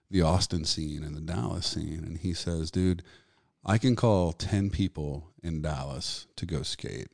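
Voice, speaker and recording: Low-voiced host, 40s, loose and profane; dry close sound. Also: male voice, a little mellow, dry recording. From Texas. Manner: laid-back, profane, storytelling. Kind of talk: casual podcast conversation.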